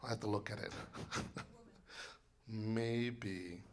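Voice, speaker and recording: A man in his sixties, a composer and librettist, giving a loud, rambling informal lecture full of fillers and restarts. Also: on the low side, fairly even intonation, drawn-out words. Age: 60s.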